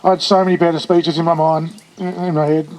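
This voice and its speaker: A high, flat-toned man speaks at a funeral for his childhood friend. His delivery is earnest and halting, with frequent restarts. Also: a little hiss or hum. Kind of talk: funeral eulogy.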